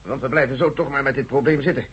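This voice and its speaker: An actor playing a brisk, authoritative general chairing a tense briefing in a radio drama. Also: male voice, mid-range voice, a little hiss or hum, natural voice. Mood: commanding. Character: General Stevens, brisk military commander. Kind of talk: 1970s radio drama acting. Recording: dull lo-fi radio recording.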